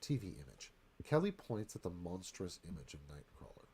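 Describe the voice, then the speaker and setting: Man narrating with lively pitch swings. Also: normal speed, slight background noise. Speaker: narrator reading stage directions. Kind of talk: scripted screenplay narration.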